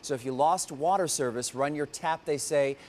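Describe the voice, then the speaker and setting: A man's voice: fairly high pitch, moderate pace, darkish tone, slight background noise. An American man anchoring a TV newscast, moving between reading copy and conversational banter with a co-anchor. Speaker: TV news anchor.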